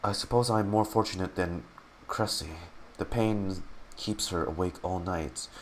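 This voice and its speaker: A man who streams games, reading in-game text aloud dramatically and haltingly and muttering his reactions. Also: low voice, slight background noise.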